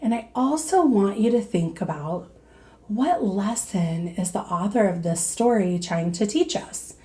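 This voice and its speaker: A woman teacher reading a storybook aloud to children in an expressive manner. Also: mid-range voice. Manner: slow, expressive, addressing young listeners.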